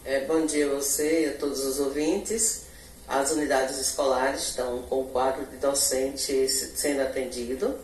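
Woman, middle-aged, Bahian accent, measured bureaucratic tone, handheld mic, echoey office. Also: a bass voice, little pitch movement, darkish tone, distant mic, a little hiss or hum. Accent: Brazilian Portuguese, Bahia. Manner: measured bureaucratic explanation, occasional self-corrections. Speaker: municipal education secretary. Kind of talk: formal interview answers. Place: indoor office in front of a logo backdrop. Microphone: handheld TV reporter mic. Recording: echoey room, voice a bit off-mic.

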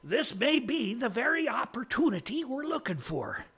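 A man's voice: high voice, huge pitch swings, normal speed, voice pushed up, full character voice. A man voicing a modest, helpful tinker hero in a folk tale, courteous and folksy. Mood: reassuring, polite. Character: modest, helpful, polite tinker hero who plays flute.

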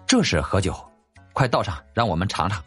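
A man's voice: deep voice, big pitch swings, fairly quick, fairly bright tone, slightly rough, faint music behind, heavily acted. Casting: male narrator as eager, bashful court strategist, wheedling, swooping intonation, historical audiobook. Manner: hesitant, wheedling. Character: sheepish, eager, wine-loving male strategist.

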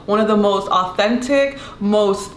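A woman's voice: mid-range voice, unhurried. Chatty woman with a Mexican accent. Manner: chatty and playful, giggly, searching for English words. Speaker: cooking vlogger. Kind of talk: casual cooking demo chat. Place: bright home kitchen, indoors. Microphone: camera mic from a few feet away. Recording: roomy kitchen with clear noise.

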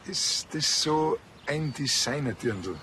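A man playing a cheeky, dry police officer with a Bavarian accent in a TV crime comedy, talking in an offhand, deadpan way. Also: fairly high pitch, slow, a little hiss or hum, heavily acted. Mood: dry, teasing.

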